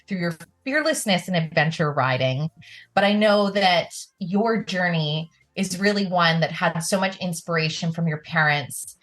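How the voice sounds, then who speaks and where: A woman's voice: on the low side, fairly bright tone, no echo. A woman co-hosting a podcast, reading a scripted intro and then interviewing in a playful, upbeat way, now and then dropping a swear word. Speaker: podcast co-host.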